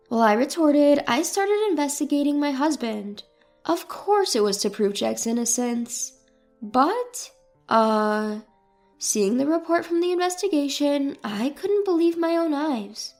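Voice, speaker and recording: A woman's voice: high-pitched, lively intonation, unhurried, a little mellow. A woman reading a scripted first-person dramatic story as its narrator. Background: music.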